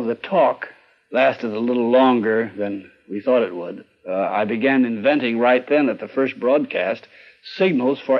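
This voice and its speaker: An older American man recalling his working days as a radio conductor, telling it in a halting, deliberate way. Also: medium pitch. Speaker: radio orchestra conductor recalling early broadcasts. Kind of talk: reminiscing, interview-like recollection. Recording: slightly dull archival radio recording.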